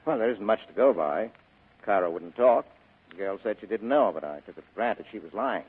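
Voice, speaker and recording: An actor playing a tough, wisecracking private detective in 1940s radio style, clipped and insistent. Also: male voice, fairly low pitch, lively intonation, a little fast, breathy edge. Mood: dry, blunt. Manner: clipped, hard-boiled, insistent. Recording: muffled old radio broadcast recording.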